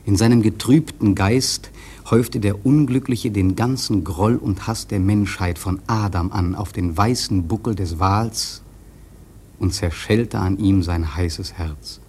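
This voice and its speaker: A man's voice: on the low side, a little slow, a little mellow, a little hiss or hum. A man giving solemn, brooding, rhetorical literary narration in a radio play.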